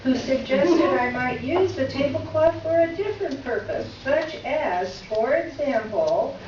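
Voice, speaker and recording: A woman plays an exasperated woman writer delivering a sarcastic complaint letter as readers theater. Her voice is on the high side and emphatic. She is heard off-mic in a very reverberant room, slightly muffled. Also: expressive, a little slow, darkish tone. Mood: biting sarcasm. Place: reverberant room, recorded from a distance. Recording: echoey room, distant mic, muffled, faint noise.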